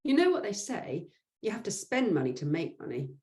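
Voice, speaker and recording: A woman's voice: mid-range voice, big pitch swings, fairly quick, dark tone, lightly acted. A woman playing a chirpy office assistant full of ideas in an amateur comic story. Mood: enthusiastic. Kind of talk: amateur comic audio drama.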